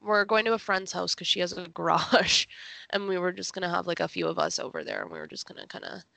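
A young woman co-hosts a casual podcast in a chatty, informal way. Her voice sits a little low and is thick with morning gravel. The sound is dry and close. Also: animated, fast, sharp tone, no echo. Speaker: podcast co-host. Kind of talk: casual chat.

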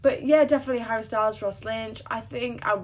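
A woman's voice: a little high, steady tone, fast. Young woman co-host, Derbyshire accent, chatty and giggly, faint hiss behind. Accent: Derbyshire, near Sheffield. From England, Derbyshire. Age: young adult. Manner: chatty, giggly, trails off mid-sentence. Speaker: podcast co-host. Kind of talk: casual chat.

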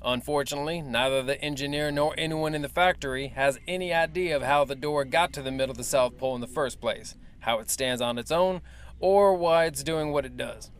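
A man's voice: a little high, close to an everyday voice. A man playing a guarded military major. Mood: measured. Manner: formal, measured, evasive. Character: male military major briefing the press, guarded. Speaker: official spokesman. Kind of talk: audio drama acting.

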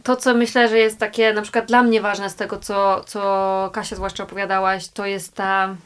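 A woman's voice: mid-range voice, flat delivery, normal speed, clean tone. A woman hosting an interview, speaking effusively and emphatically as she praises her guests.

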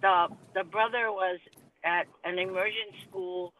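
A middle-aged woman from Hawaii, a mother telling a chatty, animated story as a guest. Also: mid-range voice, unhurried, phone-quality sound. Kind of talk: casual storytelling. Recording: distant mic with some background noise.

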